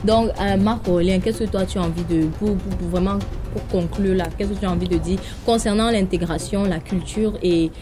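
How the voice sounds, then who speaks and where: A woman's voice: medium pitch, brisk, few pauses. Cameroonian woman hosting a chatty debate, jumps in a lot, music playing behind. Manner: lively, chimes in and finishes the other's sentences. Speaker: debate host. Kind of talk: casual debate chat.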